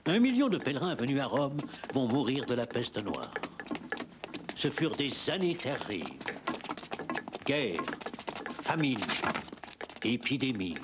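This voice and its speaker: A man reading scripted narration for an educational history cartoon, with a husky voice. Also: mid-range voice, big pitch swings, a little slow, long pauses, background noise. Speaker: documentary narrator.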